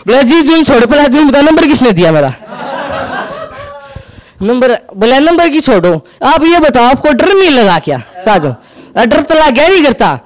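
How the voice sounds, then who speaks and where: Young guy, haryanvi accent, really high pitched, stand-up bit on stage, acts out both sides of a chat. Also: sing-song. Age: young adult. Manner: acts out dialogue in quick back-and-forth. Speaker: stand-up comedian. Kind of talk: stand-up storytelling. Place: indoor stage with curtain backdrop. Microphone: handheld mic.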